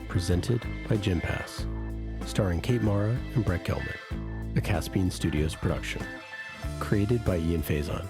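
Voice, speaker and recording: A man with a deep voice works as a podcast announcer. He reads scripted sponsor copy and credits. Music is clearly audible behind him.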